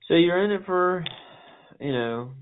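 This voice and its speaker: A man's voice: high voice, expressive, measured pace. A man, a property buyer or agent, talking bluntly and persuasively about figures on a phone call. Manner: blunt, persuasive, matter-of-fact about numbers. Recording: tinny phone line with faint noise.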